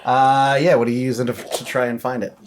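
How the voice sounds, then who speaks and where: Guy running a spooky tabletop game, medium pitch, kinda halting descriptive narration. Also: flat delivery, a little hiss or hum. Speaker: game master.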